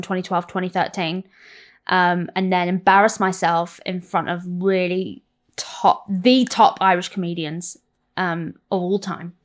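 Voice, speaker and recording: A woman in her thirties with an English accent, a guest on a podcast who tells stories about her life in an animated, swearing, self-mocking way. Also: lowish voice. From South London. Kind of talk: candid interview chat.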